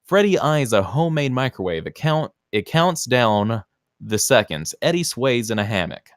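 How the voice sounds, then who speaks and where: A man's voice: mid-range voice, big pitch swings, moderate pace, no echo, clean studio sound. A man narrating screenplay stage directions at a table read in a dramatic, punchy way.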